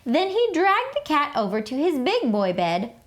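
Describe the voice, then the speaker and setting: A woman reading a children's picture book aloud, telling the story in an animated, theatrical way. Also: high voice, moderate pace.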